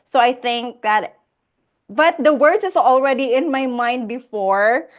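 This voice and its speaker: A woman's voice: fairly high pitch, measured pace, muffled recording. A Filipino woman hosting a casual live Q&A, reading out viewer questions and laughing often. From Philippines. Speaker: host of a live Q&A.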